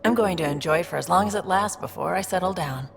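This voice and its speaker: Gravelly, easygoing spaceship crewmate. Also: female voice, on the low side, fast, long reverb tail, slight background noise. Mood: relieved, wistful. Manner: casual, self-mocking. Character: candid, good-humored female starship crew member. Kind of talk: video game voice acting.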